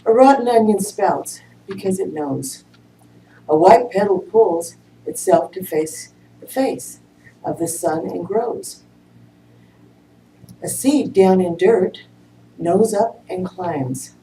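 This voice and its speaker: A woman's voice: mid-range voice, lively intonation, takes it slowly, pauses often, dark tone. A woman introducing and reading a poem aloud at a church service. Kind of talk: poetry reading. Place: church room, recorded from a distance. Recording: roomy, distant mic, faint noise.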